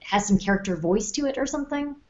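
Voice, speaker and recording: A woman co-hosting a casual podcast, reasoning through her points in a measured way and carefully qualifying them. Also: mid-range voice, expressive, darkish tone, dull audio. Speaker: podcast co-host and writer.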